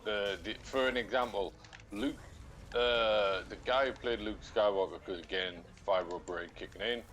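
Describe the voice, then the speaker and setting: A man's voice: on the low side, normal speed, fairly bright tone. A man in a casual chat who tells anecdotes and asks the guest questions. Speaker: co-host friend.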